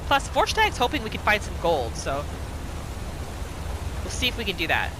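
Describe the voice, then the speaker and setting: A woman's voice: mid-range voice, wildly swooping pitch, moderate pace, background noise. A woman narrating a roleplay game playthrough, reacting with exclamations and talking to the game's characters. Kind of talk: reactive let's-play commentary.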